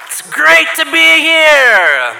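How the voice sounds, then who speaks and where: Earnest young man, very bright voice. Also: very high-pitched, wildly swooping pitch, slow pace, slight background noise. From Minnesota. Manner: earnest, frequent throat-clearing, dry understated humor. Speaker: seminary graduate giving a speech. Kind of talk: heartfelt graduation speech to a church. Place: church hall with audience.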